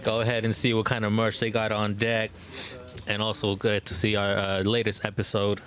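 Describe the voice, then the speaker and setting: A man's voice: on the low side, monotone, moderate pace, a bit bright. A man hosting a podcast outdoors in casual, joking banter full of slang. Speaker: podcast host. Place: outdoor backyard. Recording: noisy outdoor podcast recording.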